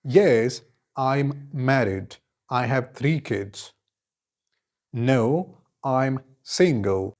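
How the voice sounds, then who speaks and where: A man, teaching an English lesson and giving clear, deliberate model answers, each repeated in several forms. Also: medium pitch, darkish tone. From Khyber Pakhtunkhwa, Pakistan. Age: 20s.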